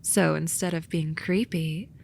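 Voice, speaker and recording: A woman voicing a soothing but snarky sloth demon in a romantic ASMR roleplay, soft and coaxing with a dry edge. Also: sits low, fairly even intonation, measured pace, creaky, slight background noise. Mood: sarcastic, explaining. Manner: soft, coaxing, dryly sarcastic, sometimes whispery.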